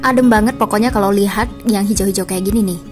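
A woman's voice: medium pitch, quick pace. An Indonesian woman giving a casual voice-over narration for a family travel vlog. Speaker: vlogger narrating her family trip. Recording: music under the voice.

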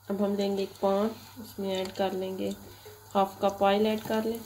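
A woman from Pakistan hosting a cooking channel, talking through a recipe step by step in a plain, even instructional tone. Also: mid-range voice, normal speed. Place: home kitchen. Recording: loud kitchen noise, frying and stirring. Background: sizzling and stirring in a pan.

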